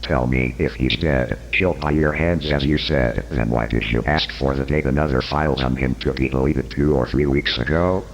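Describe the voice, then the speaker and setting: Man acting as gravelly, very deep interrogator. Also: moderate pace, few pauses. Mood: probing. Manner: formal, insistent. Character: persistent, probing male interrogator. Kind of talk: scripted analog horror interview.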